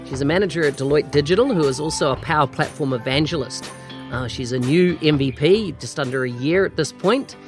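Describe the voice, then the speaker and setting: A man's voice: on the high side, soft background music. Friendly male podcast host asking questions. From New Zealand. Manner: friendly, quick questions with restarts and chuckles. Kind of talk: conversational podcast interview.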